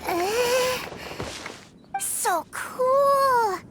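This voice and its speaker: Very high-pitched adoring little boy. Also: animated, very slow, heavy background noise, exaggerated voice. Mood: awed, admiring. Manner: wide-eyed, gushing, innocent. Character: adoring excitable little boy, a younger brother. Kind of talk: video game character acting.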